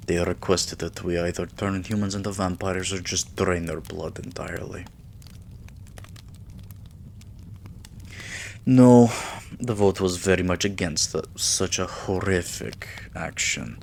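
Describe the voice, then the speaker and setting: A man playing a regal, possessive, doting vampire king in an intimate ASMR roleplay, with lordly, drawn-out phrasing and murmurs. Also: sits low, lively intonation, creaky, a little hiss or hum. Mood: exasperated.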